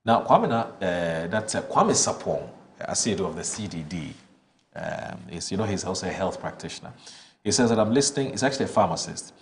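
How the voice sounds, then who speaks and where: A very low-pitched man with a Ghanaian English accent reads viewer texts aloud on a studio morning show. He stumbles and restarts between brisk read-out passages, with a faint hum of room ambience behind him. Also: sing-song. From northern Ghana. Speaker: morning show host reading viewer messages. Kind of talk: reading listener texts on air, chatty asides. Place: television studio.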